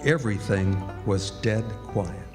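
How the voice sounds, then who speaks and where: A man narrating a scripted radio drama in a measured, literary storytelling style. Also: lowish voice, music in the background. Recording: live stage hall with reverb.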